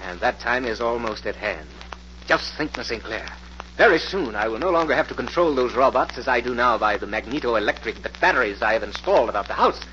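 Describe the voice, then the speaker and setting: An actor playing an arrogant, fanatical professor in an old radio drama, speaking in a grandiose, theatrical, self-important way. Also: male voice, medium pitch, animated, fairly quick, bright, forceful tone. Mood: fanatical relish. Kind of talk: 1940s radio drama acting. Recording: muffled, noisy old radio recording.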